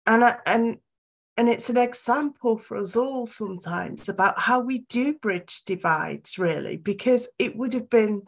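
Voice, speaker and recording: A woman's voice: fairly high pitch, unhurried, gravelly. A woman reflecting aloud on a Bible story in a casual discussion.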